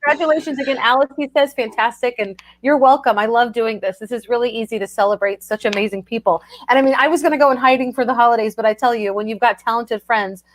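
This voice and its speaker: A woman's voice: on the high side, fast talker, hardly any pauses. A woman hosting a casual book chat over a video call, effusive and talking with many fillers.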